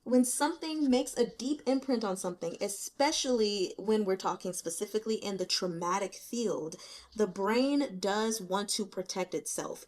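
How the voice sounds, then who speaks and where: A woman hosting a reading channel, talking through her notes in a casual, candid, colloquial and animated way. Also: mid-range voice. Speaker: host of a book reading channel. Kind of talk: casual chatty book commentary. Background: rain.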